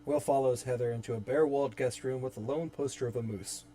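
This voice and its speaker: A man's voice: medium pitch, fast, dark tone, slight background noise. A man reading stage directions as narrator in a dramatic screenplay table read. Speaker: narrator and reader.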